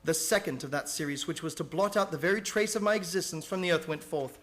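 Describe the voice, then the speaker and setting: A man acting an anxious gentleman held prisoner, speaking in earnest, formal, dramatic fashion in an old-time radio horror play. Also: on the high side, fast talker, natural voice. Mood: uneasy, grave. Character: anxious English gentleman, prisoner narrating his diary.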